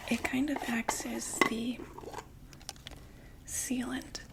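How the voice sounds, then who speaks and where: A chatty undead vampire saleswoman, played by a young woman, breathes her pitch in a close, hushed whisper. Her voice sits a little high, and she keeps an easygoing sales patter full of little fillers. The whole thing is an ASMR roleplay. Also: little pitch movement, slow, gravelly. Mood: soothing.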